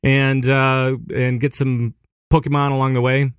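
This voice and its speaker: A man rambles through casual podcast chat, often restarting his sentences and laughing easily. His voice sits in a middle pitch. The sound is dry and close to the mic. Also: flat delivery, a little slow, smooth, dry recording. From Minneapolis area, USA. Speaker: podcast co-host.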